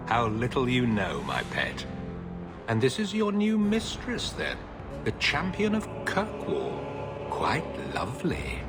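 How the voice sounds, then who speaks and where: A man's voice: mid-range voice, huge pitch swings, slow, music in the background. An actor playing a smug, sadistic older mage and slave master, silky and condescending as he taunts. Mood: smug, taunting.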